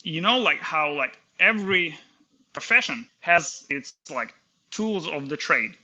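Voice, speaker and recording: Inventor guest, a man from Kazakhstan in his 30s; very bright voice, stop-start and hesitant. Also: on the high side, expressive, a little slow, poor audio. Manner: hesitant, many fillers and restarts. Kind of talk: casual interview talk.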